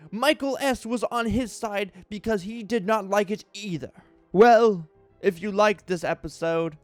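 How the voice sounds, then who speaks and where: A man giving a mock-dramatic reading as an aggrieved, earnest cartoon fan reviewing episodes, halting and heavily emphasising words. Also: sits high, dry recording. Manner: melodramatic, halting, heavy emphasis.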